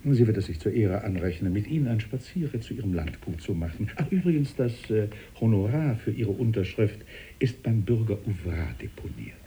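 A man playing a suave, calculating French statesman in a German radio drama, urbane, ironic and husky. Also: lowish voice, very animated, fairly quick, exaggerated voice. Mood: suavely ironic. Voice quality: husky, dark.

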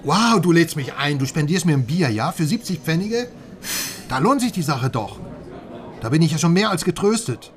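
A man's voice: on the high side, sing-song, moderate pace. An actor playing a brash, mocking West German private detective, teasing his friend in a radio crime drama. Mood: sarcastic, uneasy. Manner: teasing, sarcastic.

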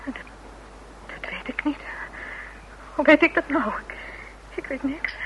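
Very high, flustered suspect woman. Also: whispered. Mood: distraught, evasive. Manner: halting, breathless, flustered. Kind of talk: radio play acting. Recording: dull, noisy old radio recording.

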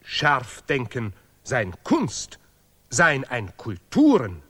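A man's voice: mid-range voice, huge pitch swings, extremely slow, fairly bright tone, husky, exaggerated voice. An actor playing a zealous, self-important male laureate, pious and bigoted, speaking emphatic broken grammar in an absurdist radio play.

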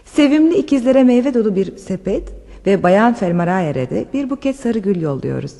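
A woman acting in a radio play as a gracious, gentle countess with formal, polite speech. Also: mid-range voice, expressive, normal speed, creaky, in a large hall. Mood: formal, warm gratitude. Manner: polite, soft-mannered, formal. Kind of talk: Turkish radio theatre acting.

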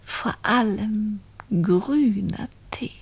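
An actress in a German radio play as a sly, gloating poor spinster who confides her crime to listeners in a deliberate storytelling manner. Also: medium pitch, creaky. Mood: smug, coy.

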